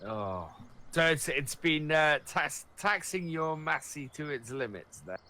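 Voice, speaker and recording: Bright, animated man chatting. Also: a little high, measured pace. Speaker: co-host of a gaming video series. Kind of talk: casual role-play chat.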